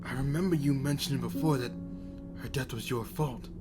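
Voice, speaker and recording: A man's voice: medium pitch, a little fast, close to an everyday voice. Man acting frank vigilante's partner over music. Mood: probing. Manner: frank, questioning. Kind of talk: dramatic audio drama acting. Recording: music bed under the voice.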